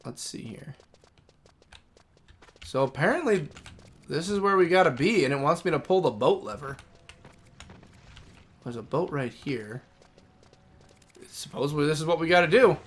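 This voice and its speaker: A man in his thirties chats casually while he streams a game. His voice sits a little on the high side, and he rambles as he thinks aloud. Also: animated, normal speed, long pauses, husky. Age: 30s. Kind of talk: casual livestream chat.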